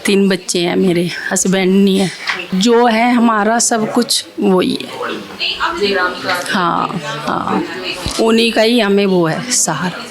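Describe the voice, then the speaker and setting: A woman's voice: medium pitch, slow, pauses often, a crowd in the background. A woman, a local resident, speaking personally and without a script in an interview. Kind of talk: personal spontaneous interview answer.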